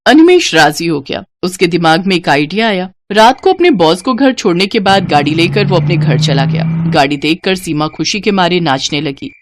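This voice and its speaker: A woman's voice: on the low side, animated, moderate pace, hardly any pauses, slight background noise. A woman storyteller narrating a scripted moral story.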